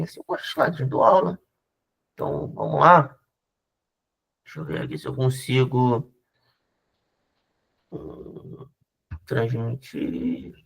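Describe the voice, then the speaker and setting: A man's voice: a little high, vocal fry. A man from Rio de Janeiro giving an informal history lecture over a video call, chatty, with frequent restarts and tag questions. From Rio de Janeiro, Brazil. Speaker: history teacher giving a talk. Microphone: video call microphone.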